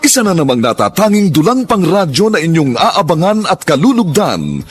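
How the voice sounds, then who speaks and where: A man's voice: on the high side, huge pitch swings, moderate pace. Man with a grand, solemn narration. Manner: grand, solemn, poetic delivery. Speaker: program narrator. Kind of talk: dramatic scripted narration.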